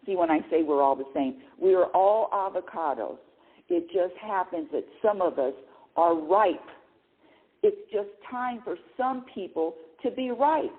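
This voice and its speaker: Animated middle-aged woman on a phone line. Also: lowish voice. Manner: animated, repeats and restarts words, laughs often. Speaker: radio show host. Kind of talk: spiritual talk, monologue.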